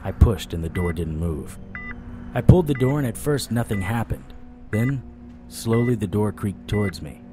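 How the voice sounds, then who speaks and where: An actor playing a world-weary supernatural private eye, narrating an audio drama in a wry, deadpan way. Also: male voice, on the low side, normal speed, over loud music, close to an everyday voice. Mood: wary, suspenseful. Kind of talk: noir first-person audio drama narration.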